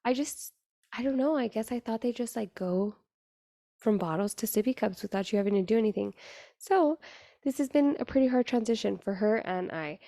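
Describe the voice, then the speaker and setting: A young woman hosting her podcast, talking in a raspy voice with an earnest, chatty manner full of fillers. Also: a little high, moderate pace, dark tone, no echo, studio quality. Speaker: podcast host. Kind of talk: casual solo podcast monologue.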